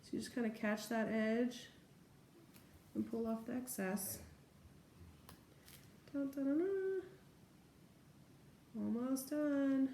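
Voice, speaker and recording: A woman's voice: fairly high pitch, mellow. Chatty woman in an echoey room. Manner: chatty, informal, narrates each step. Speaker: nail tutorial vlogger. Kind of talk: casual hands-on demonstration chat. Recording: echoey room with faint background noise.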